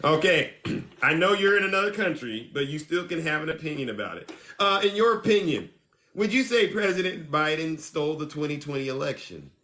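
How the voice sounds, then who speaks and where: Bright-voiced playful male stream host. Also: fairly high pitch, expressive, a little fast. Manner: playful, teasing, swings between loud and soft. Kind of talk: casual live call-in chat.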